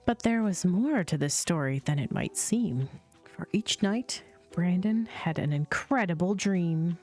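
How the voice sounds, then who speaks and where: Unhurried woman reading bedtime stories over music. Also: fairly low pitch, animated, darkish tone. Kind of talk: storybook narration for children. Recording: music playing behind the voice. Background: chill music.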